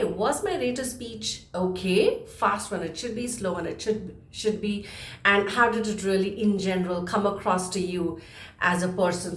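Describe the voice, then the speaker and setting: Female instructor, mid-pitched, emphatic coaching delivery with deliberate changes of speed, recorded with noticeable room echo. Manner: emphatic, deliberately varies speed to stress points, rhetorical questions. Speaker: communication coach presenting an instructional video. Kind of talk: spoken lesson to viewers. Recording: roomy, echoing room sound.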